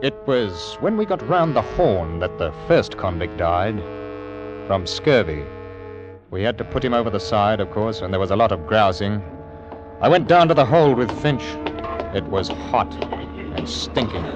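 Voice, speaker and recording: An actor playing a stern, humane ship's captain who speaks in a firm, measured way in an old radio drama. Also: male voice, on the low side, very animated, over music. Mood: grave recounting. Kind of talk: old-time radio drama narration and dialogue. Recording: dull lo-fi old radio recording.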